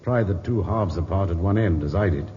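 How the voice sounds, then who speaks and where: An actor playing a measured, pointed man reporting to a police inspector in a 1950s radio drama. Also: sits low, dark tone, natural voice. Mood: grimly matter-of-fact. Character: man showing a police inspector a suspicious valise. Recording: muffled, noisy old radio recording, echoing hall.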